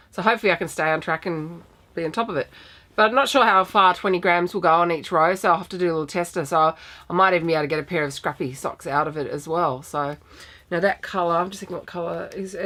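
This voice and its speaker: A somewhat low-pitched Australian woman talks through her crafts in a loose, rambling way, full of ums and restarts. Her voice is a little croaky from a sinus infection. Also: fairly bright tone. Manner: chatty, rambling with many ums. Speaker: podcast host. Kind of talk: casual knitting podcast chat.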